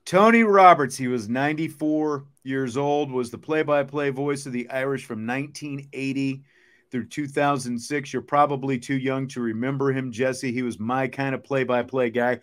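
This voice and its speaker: A man's voice: mid-range voice, steady tone, normal speed. Middle-aged man hosting sports talk. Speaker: sports talk show host.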